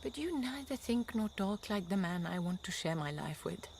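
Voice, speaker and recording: A young wife and mother in a radio play, determined to be free. She speaks earnestly and with deliberate intensity at a medium woman's pitch. A light layer of background noise sits behind the voice. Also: lively intonation, creaky, darkish tone. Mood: hurt, accusing. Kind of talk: radio drama acting.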